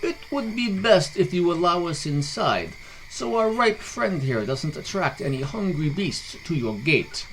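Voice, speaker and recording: A man's voice: a little high, animated, a little slow, a little hiss or hum, voice pushed up, poor audio. A courteous dragonborn hunter from a fantasy tale, played by a male narrator. He speaks with diplomatic composure in a raised voice that moves expressively. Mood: polite. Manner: polite, composed. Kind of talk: storybook character voice in fantasy narration.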